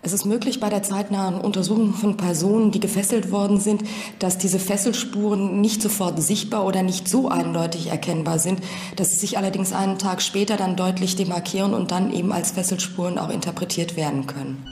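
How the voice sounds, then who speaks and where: A woman's voice: mid-range voice, fairly even intonation, a little fast, darkish tone, a little hiss or hum. A woman speaking as a forensic medical expert, explaining in a clinical, formal manner with long technical sentences. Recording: roomy, echoing voice.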